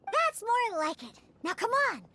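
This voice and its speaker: A woman's voice: very high voice, wildly swooping pitch, measured pace, sharp tone, exaggerated voice. An actress playing a small, earnest, bossy young girl in a video game, insistent and determined.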